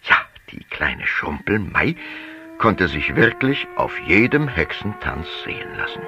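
Deep, gravelly male fairy-tale storyteller. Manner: expressive, relishing, drawn-out phrasing. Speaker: storyteller narrator. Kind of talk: theatrical fairy-tale narration for a children's audio play. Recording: dull sound with clear background noise.

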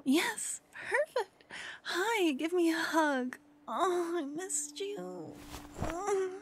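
A woman voicing a jealous, doting young witch girlfriend in an intimate ASMR roleplay, breathy and often whispering, with dramatic delivery. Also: very high-pitched, expressive, very slow, heavily acted. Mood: relieved, tender. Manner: dramatic, swinging from cooing to venting. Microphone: close ASMR microphone.

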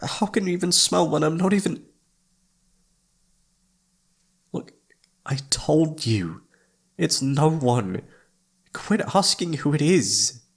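A man playing a shy, flustered young incubus with a husky, slightly creaky voice, stammering and hesitant in a romantic ASMR audio roleplay. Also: on the high side, sing-song, unhurried, heavily acted. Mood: flustered, anxious. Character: shy, flustered young male incubus roommate confessing his crush.

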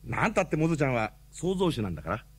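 An actor playing a talkative, excitable young man in a radio drama, knowing, protective and a little exasperated. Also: medium pitch, quick pace, slightly rough. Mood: admonishing.

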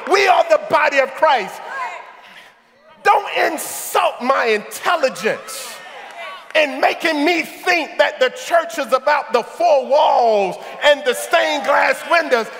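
A middle-aged man preaching a sermon in a large church sanctuary on a headset mic, shouting at the peaks and tossing quips at the congregation. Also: very high voice, lively intonation, slow, gravelly, some chatter behind. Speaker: pastor. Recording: echoey sanctuary with congregation and organ. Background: congregation and soft music.

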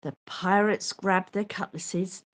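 A woman reading a children's picture book aloud with expressive, theatrical delivery. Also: mid-range voice, slow. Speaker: storyteller.

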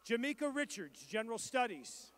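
A man reading out graduates' names and their programs at a commencement ceremony, in a measured and formal roll-call delivery. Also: very high voice, very animated, fairly bright tone. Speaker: commencement name reader.

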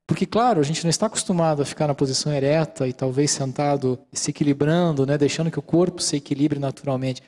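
A middle-aged Brazilian man giving a calm, explanatory lecture in a large auditorium, speaking into a handheld microphone and often checking in with the audience. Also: on the high side, quick pace, hardly any pauses. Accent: Brazilian Portuguese. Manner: calm, explanatory, many fillers like "né". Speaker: mindfulness lecturer. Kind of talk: relaxed lecture with audience questions.

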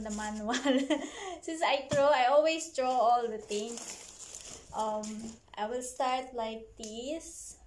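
A high-voiced Filipino woman in her thirties, Tagalog her first language, talks slowly and haltingly in English, giggling as she hunts for words. She is recorded by a camera across a living room with a roomy echo and a faint hiss behind her. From Philippines. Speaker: vlogger. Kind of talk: casual home vlog. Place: living room at home, indoors. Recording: echoey room with faint noise.

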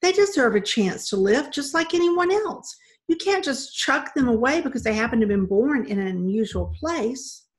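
A woman voicing an earnest young girl who pleads tearfully and rushes on, as in a storybook reading. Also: fairly high pitch, lively intonation, voice pushed up, close to an everyday voice. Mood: indignant, pleading.

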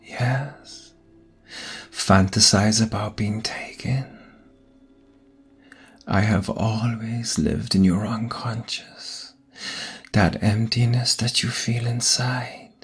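Brooding vampire lover, acted by a man, very breathy whisper, slow intimate delivery, pleading, seductive, ASMR audio drama. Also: lowish voice, fairly even intonation, faint music behind, heavily acted. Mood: tender, seductive.

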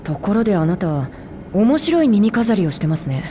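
A woman's voice: mid-range voice, big pitch swings, normal speed, dark tone, a little hiss or hum, close to an everyday voice. A woman voicing a young elf technician in a video game, polite, curious and blithely frank. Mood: curious, breezy. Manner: polite, breezy, inquisitive.

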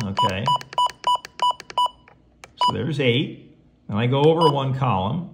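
A man giving a casual how-to walkthrough in a folksy way, talking himself through each step. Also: mid-range voice. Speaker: presenter of how-to video. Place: indoors at home, beside a tabletop radio. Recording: clearly noisy home recording with clicks.

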